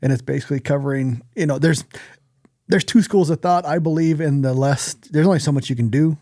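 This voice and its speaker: A man's voice: a little high, brisk, mellow, no room sound, studio quality. A man co-hosting a podcast in casual conversation, talking bluntly and plainly with some casual swearing. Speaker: podcast co-host.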